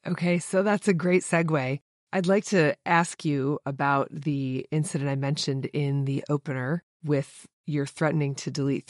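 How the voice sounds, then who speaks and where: A woman's voice: low voice, a little mellow, no room sound, clean studio sound. A woman hosting a podcast, reading prepared introductions and putting questions to a guest. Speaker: podcast host, interviewer.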